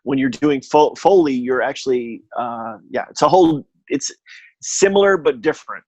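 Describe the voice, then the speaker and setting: A man chatting casually as a podcast guest and telling anecdotes in a halting, wry way, often correcting himself. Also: mid-range voice, expressive, darkish tone, no room sound, lo-fi sound. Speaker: podcast guest, audio theatre producer.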